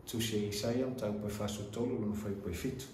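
Low-voiced pastor in an echoey room. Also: male voice, steady tone, brisk, darkish tone. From Samoa. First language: Samoan. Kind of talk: bilingual online church service address and sermon. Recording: roomy echo with faint background noise.

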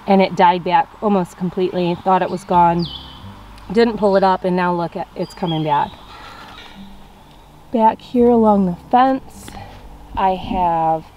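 A woman's voice: mid-range voice, dark tone, slight background noise. A young woman casually walking through her backyard vegetable garden outdoors, chatting as she points out plants to a handheld camera. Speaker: gardening vlogger.

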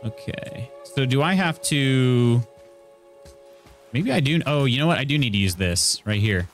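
A man's voice: medium pitch, expressive, unhurried. Midwestern streamer dude in his 30s, chatty, game music faint underneath, close mic at home. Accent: Midwestern American, Indiana. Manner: chatty, reacting to game while reading chat. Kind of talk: casual gameplay commentary and chat. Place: small room at home, indoors. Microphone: studio mic on arm near face.

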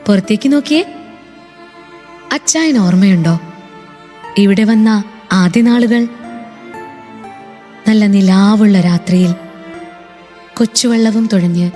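A woman's voice: on the high side, measured pace, long pauses, warm tone. Woman as a playful spirit wife, radio play, coaxing, echoing reverb. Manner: teasing, lilting. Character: late wife's spirit, playful, coaxing, affectionate. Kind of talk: radio drama acting. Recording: long reverb with music.

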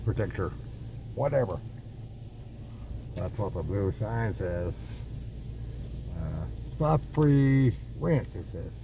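Older man, low voice, slow drawl, dashboard mic, car wash roaring behind. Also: animated, gravelly, noisy background. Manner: unhurried, mumbling, easily amused. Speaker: vlogger. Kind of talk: casual running commentary. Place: inside a car in an automatic car wash. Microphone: phone or camera on the dashboard.

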